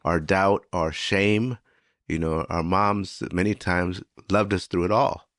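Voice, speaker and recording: A man's voice: sits low, fairly even intonation, slow, dry recording, clean studio sound. An older man hosting an interview, affirming and reflective, leaning heavily on "you know" between phrases. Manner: warm, affirming, heavy use of "you know". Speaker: host. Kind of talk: interview conversation.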